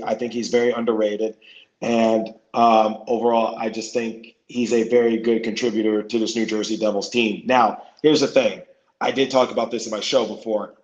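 A man with a hoarse voice, a guest on a sports podcast, talking casually and often repeating and restarting his words. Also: mid-range voice, reverberant, lo-fi sound.

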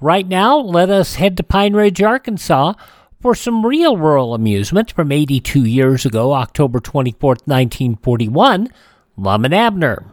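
Guy hosting a radio show, reading his links, very animated, dry close sound. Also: fairly high pitch, normal speed, no room sound. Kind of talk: scripted radio presenting.

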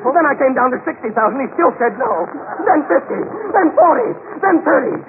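Casting: high-strung, crazed salesman for radio farce; extremely high male voice, theatrical, hissy narrow-band recording. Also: a little fast, full character voice. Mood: agitated. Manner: excitable, theatrical. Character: manic, high-strung male estate agent, unhinged confessed killer. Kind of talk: 1940s-50s American radio comedy acting.

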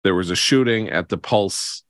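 An American man hosting a podcast, giving political commentary in an emphatic, halting way with repeated phrases. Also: low-pitched, dry recording, studio quality. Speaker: podcast host.

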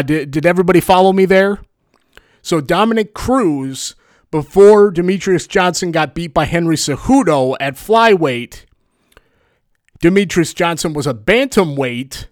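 A man hosting a solo podcast, previewing fights in a chatty, rambling way with a booming voice, often correcting himself. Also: dry recording, studio quality. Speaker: podcast host. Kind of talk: solo sports preview commentary.

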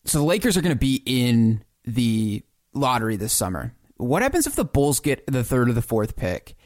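A man's voice: medium pitch, big pitch swings, normal speed, a little mellow, no room sound, clean studio sound. A man co-hosting a sports podcast, thinking through basketball scenarios and posing questions in a conversational way.

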